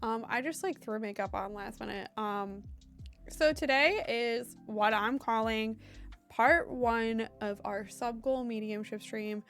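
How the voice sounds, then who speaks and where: A woman with a fairly high, hoarse voice that keeps threatening to give out. She talks to her stream audience in a loose, rambling way, full of "um" and "like". Faint music plays behind her. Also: unhurried, a bit bright. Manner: chatty and meandering, heavy on fillers like "um" and "like". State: hoarse, voice intermittently giving out. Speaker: live streamer and medium. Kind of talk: casual live-stream chat with viewers.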